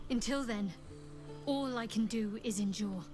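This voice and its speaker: A guarded young cleric woman of a dark faith, voiced by an actress, speaks in measured, dryly wry game dialogue. Her pitch is moderate to slightly high. Music plays behind her. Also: lightly acted. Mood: solemn, devout. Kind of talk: video game dialogue acting.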